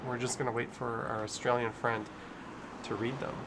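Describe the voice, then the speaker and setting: A man's voice: medium pitch, little pitch movement, normal speed. Casual male livestreamer with faint background noise. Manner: chatty, informal, reads out viewer messages. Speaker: livestream host. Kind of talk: casual live commentary and chat reading.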